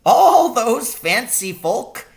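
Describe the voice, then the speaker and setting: Man putting on a high-pitched old country cabbie. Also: big pitch swings, drawn-out words, creaky, full character voice. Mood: amused. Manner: rustic, colloquial, exclamatory. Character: chuckling old country taxi driver, sly poacher.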